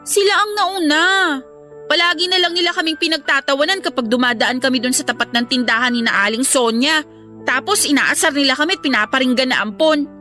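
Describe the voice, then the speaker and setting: Radio drama little sister: very high, plaintive, polite, loyal, childlike. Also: female voice, brisk, pushed and bright. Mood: aggrieved. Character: young adopted daughter, aggrieved, loyal to her brother. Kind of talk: emotional radio drama acting. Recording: music bed behind the voice.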